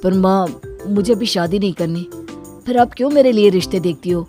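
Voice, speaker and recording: A woman voicing a reluctant, earnest young man, a dutiful son, in an animated story. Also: mid-range voice, brisk, soft background music, lightly acted. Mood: exasperated. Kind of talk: Hindi animated story acting.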